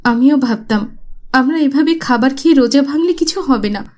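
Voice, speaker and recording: A woman's voice: sits high, normal speed, darkish tone, pitched above the performer's own voice, natural voice. A woman voicing a spoiled, haughty young rich girl in a cartoon, with a breezy manner. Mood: remorseful.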